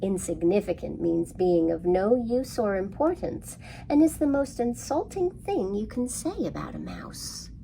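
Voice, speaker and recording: A woman's voice: mid-range voice, very animated, measured pace, gravelly, mellow, a little hiss or hum. A woman hosting an interactive storytime for young children, speaking in a sing-song way and putting questions to her listeners.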